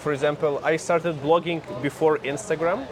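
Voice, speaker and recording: A man's voice: on the high side, little pitch movement, fairly quick, a crowd in the background. A man with a Ukrainian accent, a native Ukrainian speaker, speaks as a blogger guest in a conversational interview, explaining things earnestly outdoors on a city street. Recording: loud street traffic noise.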